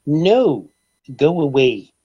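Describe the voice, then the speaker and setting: A grandiloquent, obsessive rich eccentric in an old-time radio comedy. The voice sits fairly high and swings dramatically through flowery, formal phrases. The recording is dull and dated. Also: male voice, very animated, very slow, warm tone, slightly rough, exaggerated voice. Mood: curt, haughty. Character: pompous eccentric millionaire, obsessed bird egg collector.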